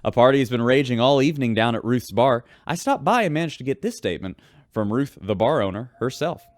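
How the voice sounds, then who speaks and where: A man's voice: mid-range voice, expressive, brisk, no echo, clean studio sound, lightly acted. A man playing a wry, chatty radio host aboard a spaceship, reading news in a scripted sci-fi audio drama. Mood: wry, upbeat.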